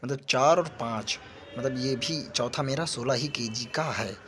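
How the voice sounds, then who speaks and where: A man's voice: mid-range voice, moderate pace, soft background music. A young man from an Indian village talking casually to viewers as a fitness vlogger, often repeating his phrases. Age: young adult. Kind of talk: casual workout vlog talk to viewers. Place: gym, later outdoors on a busy street. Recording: noisy phone recording with loud ambient noise.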